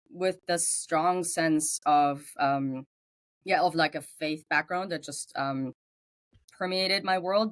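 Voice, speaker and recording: A guest in an interview who grew up in Germany and speaks English with a German accent, thoughtful and searching for words, with frequent pauses and fillers. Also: female voice, lowish voice, no room sound. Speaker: guest theologian. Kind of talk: interview conversation.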